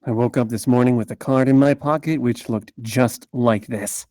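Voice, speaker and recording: A man playing a weary, cynical hard-boiled investigator in a scripted noir table read, speaking in a measured, deliberate way. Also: mid-range voice, steady tone, warm tone, no echo, close to an everyday voice. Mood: terse, pressing.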